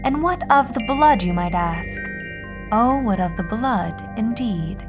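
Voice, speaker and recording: A woman playing a deliberate, theatrical 1950s telephone switchboard operator who hosts with arch, florid showmanship. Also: fairly high pitch, expressive, over music. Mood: arch, teasing. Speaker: host, switchboard operator narrator. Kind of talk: theatrical scripted narration, anthology radio drama.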